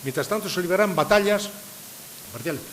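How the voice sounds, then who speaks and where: A man's voice: high-pitched, expressive, fairly quick, fairly bright tone. An older man from San Sebastián, Spain, giving a public lecture to a live audience, asking rhetorical questions and stressing his points. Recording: reverberant hall with faint hiss.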